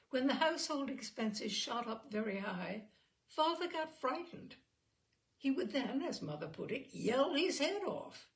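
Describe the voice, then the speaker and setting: A woman reading literary stories aloud as a storyteller, with expressive, theatrical phrasing. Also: a little high, creaky. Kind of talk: literary storytelling with informal introduction.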